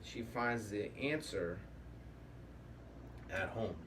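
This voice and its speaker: A man's voice: on the low side, drawn-out words. A man hosting a workshop in a casual, improvised way, rambling as he thinks aloud. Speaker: puppetry workshop host. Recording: distant mic with faint room noise.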